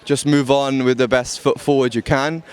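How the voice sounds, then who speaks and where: A man's voice: mid-range voice, fairly even intonation, moderate pace, darkish tone, clean tone. A man with a London English accent casually offering advice in a street interview.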